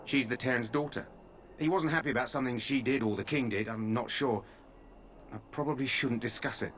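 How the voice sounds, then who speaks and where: An actor playing a courteous royal guard who talks too freely. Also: male voice, mid-range voice, a little fast, a bit bright, slight background noise, natural voice. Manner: polite, overly talkative. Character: loose-lipped male royal guard.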